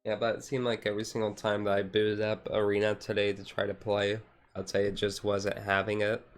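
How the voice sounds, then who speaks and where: A man streamer chatting casually in a chill, low-key livestream, rambling along with hesitant pauses. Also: monotone, faint music behind. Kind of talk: casual chat, ASMR-style chill livestream.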